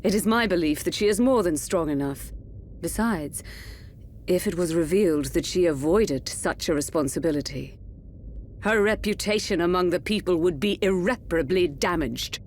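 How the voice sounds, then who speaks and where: A woman plays a mature noblewoman of a fantasy court. Her voice is fairly low, formal and coolly insistent, with faint noise behind it. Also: moderate pace, close to an everyday voice. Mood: coolly persuasive. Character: cool, calculating royal consort, mature noblewoman.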